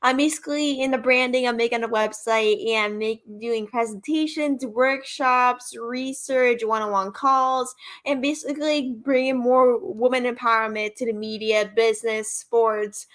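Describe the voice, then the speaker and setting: A woman's voice: fairly high pitch, steady tone, measured pace, few pauses, fairly bright tone. A young woman co-hosting a podcast, talking casually and conversationally, often leaning on "like" and "basically". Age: young adult. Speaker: podcast co-host, organization founder.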